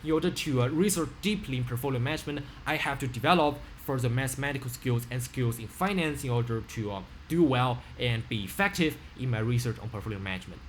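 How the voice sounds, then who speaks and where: A man's voice: medium pitch, fast, few pauses, slight background noise. A teenage boy from China with a Chinese accent, giving an earnest self-introduction over a webcam from a small room, with many fillers and restarts. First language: Mandarin. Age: teens. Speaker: student. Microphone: webcam on a video call.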